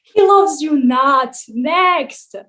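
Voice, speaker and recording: A woman's voice: high-pitched, extremely slow, sharp tone, heavily acted. A woman playing a jaded, sarcastic male wine god who runs a summer camp, in a weary, theatrical table read. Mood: sardonic. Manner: sardonic, weary, theatrical complaining.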